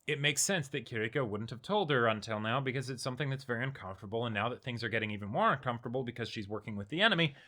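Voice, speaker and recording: A man co-hosting a reaction show, chatting casually and in an animated, rambling way about an episode. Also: medium pitch, very fast, hardly any pauses. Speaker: co-host of an anime reaction show. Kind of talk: casual discussion of an anime episode.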